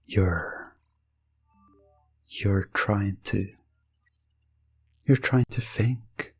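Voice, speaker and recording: A man narrating scripted hypnosis ASMR in the second person, drawing out his phrases in a halting, suggestive way. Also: lowish voice, husky, dry recording. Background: music.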